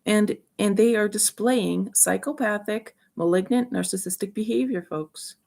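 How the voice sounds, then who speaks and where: A woman giving rambling opinion commentary as a video host, speaking haltingly but emphatically, with an aggrieved manner. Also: medium pitch, vocal fry, darkish tone.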